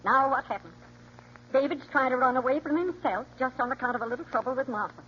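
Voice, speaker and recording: Stern high-voiced mother, old radio. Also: quick pace, a bit bright. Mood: reproachful, firm. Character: stern, exasperated mother who raised three boys. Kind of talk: 1940s radio acting. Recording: muffled vintage radio, faint noise.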